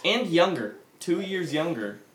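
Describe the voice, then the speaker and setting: Lively man tossing in quick jokes. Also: on the high side. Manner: quick wisecracks. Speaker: podcast co-host. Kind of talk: casual comedy chat.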